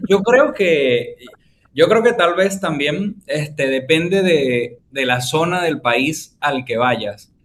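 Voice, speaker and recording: A man's voice: a little high, expressive, takes it slowly, a bit bright, a little hiss or hum. A man with a Venezuelan accent, hosting a casual podcast chat and explaining his ideas with long everyday examples. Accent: Venezuelan Spanish. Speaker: podcast host.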